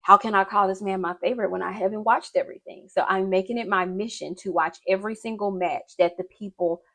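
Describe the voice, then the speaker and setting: A woman's voice: medium pitch, steady tone, fast talker, fairly bright tone. A young woman vlogger chatting casually and gushing in informal slang while she does her makeup. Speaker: beauty and wrestling vlogger.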